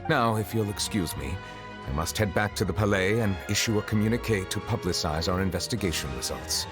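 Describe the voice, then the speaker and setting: An actor playing a stately, aloof judge who speaks in a measured, formal way, with game music behind. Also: male voice, fairly low pitch, expressive, close to an everyday voice. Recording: game audio with background music.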